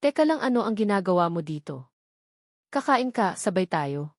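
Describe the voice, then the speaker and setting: A woman's voice: mid-range voice, sing-song, normal speed, a little mellow, no room sound, studio quality. A woman voicing a friendly, affectionate young man in scripted dialogue from a novel. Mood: friendly, casual.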